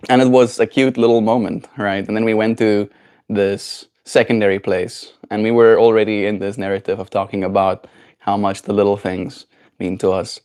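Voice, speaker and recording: Reflective South African man, somewhat low voice. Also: flat delivery, normal speed, a little mellow, clear, resonant tone. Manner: reflective, meandering, often adds "right?". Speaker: livestream host. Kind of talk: casual livestream chat.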